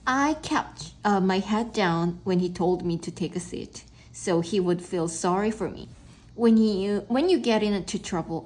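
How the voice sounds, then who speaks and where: A woman reading a children's storybook aloud in a boy's first person, expressive and stumbling now and then over words. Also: mid-range voice, poor audio. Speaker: read-aloud narrator.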